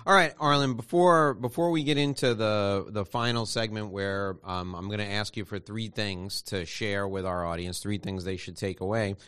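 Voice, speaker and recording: A man's voice: lowish voice, normal speed, few pauses, a bit bright, clear, resonant tone, dry recording, clean studio sound. Friendly male show host asking questions. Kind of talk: interview.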